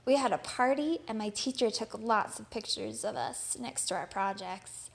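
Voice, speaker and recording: A woman reading a children's picture book aloud in an expressive storytelling manner. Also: on the high side, moderate pace, vocal fry.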